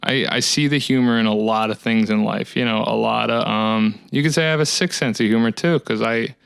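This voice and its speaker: A man in his thirties talking in a casual, rambling solo monologue, with a dry, monotone delivery. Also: medium pitch, a little fast, creaky, clear, resonant tone, dry recording. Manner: rambling, reflective, many fillers. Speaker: musician hosting his own talk series. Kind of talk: casual solo talk to camera.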